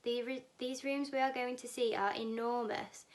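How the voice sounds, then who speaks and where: A woman voicing a brisk, excitable male chocolate factory owner who calls out commandingly and boastfully in a storybook reading. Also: on the high side, close to an everyday voice. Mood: proud, explaining.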